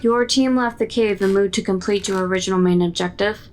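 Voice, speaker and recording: A stern, skeptical intelligence officer played by a woman in a sci-fi audio drama. Her mid-pitched voice is curt and authoritative, over faint noise. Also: a little fast, natural voice. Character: stern, skeptical female military intelligence interrogator.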